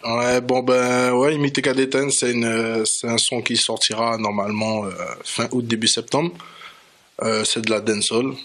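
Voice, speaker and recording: Man from french guiana, radio interview guest, bright tone, plain relaxed talk. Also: medium pitch, moderate pace. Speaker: reggae dancehall artist guest. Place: radio studio.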